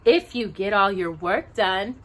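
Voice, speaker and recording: A woman voicing a crafty, controlling stepmother in a storybook, speaking in a cool, deliberate, sly way. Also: medium pitch, a bit bright.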